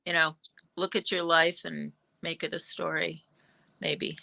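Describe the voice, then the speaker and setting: A woman's voice: sits low, flat delivery, unhurried, creaky, pushed and bright, dry recording. A woman playwright chatting casually on a video call, giving advice in an offhand, tentative way. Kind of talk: casual chat on a panel.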